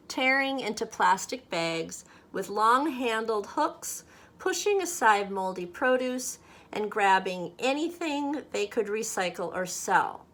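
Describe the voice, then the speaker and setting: Slow-paced woman reading for children. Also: mid-range voice, a bit bright. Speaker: church children's leader reading a storybook. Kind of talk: children's read-aloud and friendly talk to kids.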